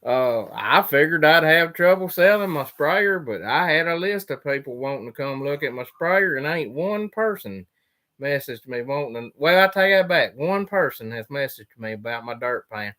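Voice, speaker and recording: A man's voice: fairly high pitch, animated, normal speed, sharp tone. A man, a farmer chatting casually on a livestream and answering viewer questions. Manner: drawling, plainspoken, trails off mid-sentence.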